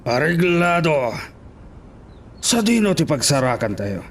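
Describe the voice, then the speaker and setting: A man playing a corrupt town mayor in an audio drama, bossy and deliberate as he gives orders. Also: on the high side, big pitch swings, a little hiss or hum. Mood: calculating, businesslike. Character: corrupt, commanding male town mayor, scheming villain.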